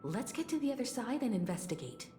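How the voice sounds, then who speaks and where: A woman voicing a composed, kindly, knowing female guide in a video game, measured and assured. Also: a little high, very animated, warm tone. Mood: calm, knowing. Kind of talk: scripted game dialogue. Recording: echoey reverberant game audio with music.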